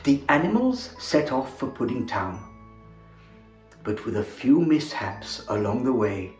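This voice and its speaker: A man reading a children's picture book aloud in an expressive, theatrical manner, as a storyteller playing Santa. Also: medium pitch, unhurried. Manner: animated, theatrical, with chuckles. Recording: roomy echo, music behind. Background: music.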